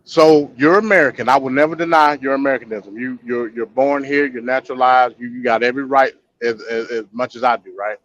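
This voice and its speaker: A man's voice: medium pitch, fairly even intonation, brisk, few pauses, a bit bright, lo-fi sound. A man hosting a live call-in debate stream, pressing his points in a probing way and often repeating words as he goes. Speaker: host.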